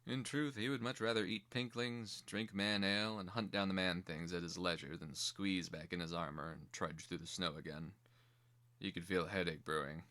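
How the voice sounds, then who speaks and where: A man's voice: low-pitched, steady tone, moderate pace, sharp tone. A man giving scripted dramatic narration as the narrator of a fantasy screenplay reading.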